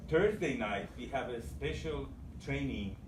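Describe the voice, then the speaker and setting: A man's voice: mid-range voice, expressive, a little slow. A man giving an informal, effusive welcome speech as host to a live audience, often repeating his phrases. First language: Persian. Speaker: event host at a business presentation. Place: indoor room with live audience. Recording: echoey room, distant mic, loud background noise.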